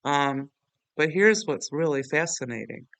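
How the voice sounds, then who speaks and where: A man with a fairly high, clear voice walks through software as a tutorial host. He talks casually and rambles, often poking fun at himself. The sound is dry, with no room on it. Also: animated, a little slow, no room sound. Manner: rambling, chatty, self-deprecating.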